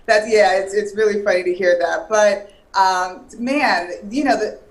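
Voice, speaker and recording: A woman's voice: mid-range voice, a little slow, low-quality recording. A woman from Miami answering as an interview guest in casual conversation, earnest and emphatic, often repeating and listing for emphasis. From Miami, Florida.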